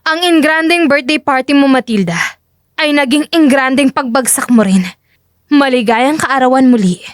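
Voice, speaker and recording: A firm, cool female secret agent acted out by a woman in an audio drama. Her high voice is curt and dismissive, recorded very dry. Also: normal speed, no room sound, close to an everyday voice. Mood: coldly mocking. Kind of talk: dramatic audio story acting.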